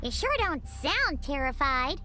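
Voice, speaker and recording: A woman voicing a tiny, childlike fairy companion in a video game, cheeky and excitable, with a squeaky voice. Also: a little slow, over music.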